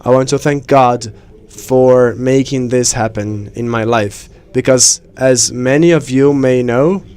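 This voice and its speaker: A man interpreting conference speeches live, in measured phrases with pauses mid-sentence. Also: medium pitch, a little mellow, smooth. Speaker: simultaneous interpreter.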